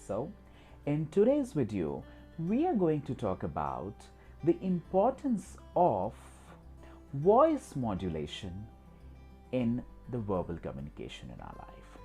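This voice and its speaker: A man's voice: medium pitch, wildly swooping pitch, measured pace, warm tone, soft background music. A man with an Indian accent, a native Hindi speaker, giving an instructional talk as host, repetitive and emphatic with frequent filler phrases. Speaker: host of a skills channel.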